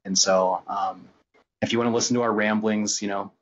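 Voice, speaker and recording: A man chatting casually as a podcast guest, in a rambling, halting way. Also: lowish voice, steady tone. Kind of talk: casual chat. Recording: dull lo-fi sound with background noise.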